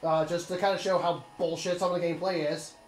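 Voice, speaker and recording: A man's voice: on the high side, flat delivery, fast, roomy sound, soft background music. A man hosting a casual let's play commentary, offhand and swearing casually.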